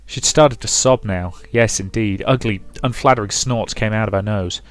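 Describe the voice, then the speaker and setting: A man's voice: lowish voice, moderate pace. A man commentating on and reading aloud from a visual novel as a let's play host.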